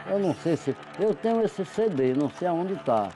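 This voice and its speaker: A man's voice: a little high, big pitch swings, normal speed, music in the background. Joking, chatty male local guide. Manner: joking, chatty, tags lines with "né". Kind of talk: casual guiding chat. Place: outdoors at night on a hillside.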